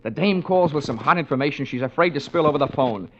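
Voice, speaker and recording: An actor playing a world-weary, wisecracking American private investigator in a hard-boiled, dry, old-time radio drama style. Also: male voice, a little high, animated, fast talker, a little hiss or hum. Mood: urgent, cynical. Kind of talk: 1940s-50s radio detective drama acting, first-person narration. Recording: muffled old radio recording, loud music behind.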